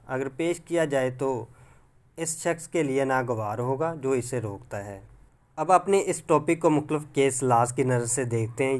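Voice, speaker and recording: A man with a Pakistani accent reads a scripted legal lecture as a teacher, in a formal, measured manner, mixing in English legal terms. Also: mid-range voice. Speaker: law lecturer.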